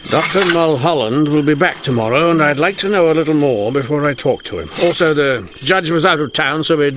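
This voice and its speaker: Theatrical, shrewd inspector, animated delivery. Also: male voice, on the high side, a little hiss or hum. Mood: wry, brisk. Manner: deliberate, theatrical explaining, with old-fashioned turns of phrase. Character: shrewd, theatrical male police inspector in 1890s Canada. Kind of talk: radio drama acting.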